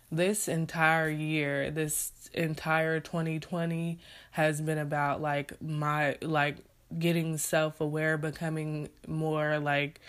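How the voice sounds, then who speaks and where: A woman hosting her own podcast in a casual, rambling talk, her voice congested, with many fillers and restarts. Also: low-pitched. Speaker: podcast host. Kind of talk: casual solo podcast reflection.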